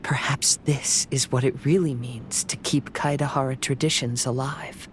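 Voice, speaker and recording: An actor playing a serene young wandering samurai poet in a game, speaking gently in a measured, poetic way. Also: male voice, medium pitch, steady tone, a little hiss or hum, natural voice. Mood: reflective.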